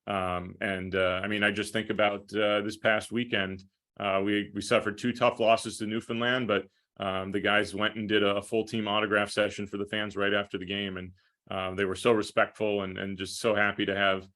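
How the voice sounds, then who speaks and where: An American man answering interview questions conversationally as a guest broadcaster, with measured, hesitant phrasing. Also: fairly low pitch, flat delivery, bright, forceful tone. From Minnesota, United States. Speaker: podcast guest, hockey play-by-play broadcaster.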